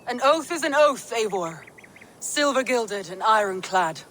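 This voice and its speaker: A woman's voice: fairly high pitch, animated, measured pace, fairly bright tone, slight background noise. An actress playing a loyal, resolute female Viking jarl with a proud, firm delivery in a video game. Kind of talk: dramatic video game acting.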